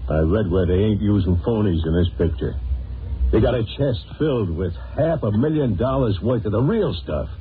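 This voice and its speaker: A man's voice: fairly low pitch, animated, normal speed, soft background music, close to an everyday voice. An actor playing a brash, streetwise gangster boss in a superhero audio drama, talking in slangy tough-guy fashion. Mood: confident. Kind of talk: old-time superhero audio drama acting. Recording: tinny, noisy old audio.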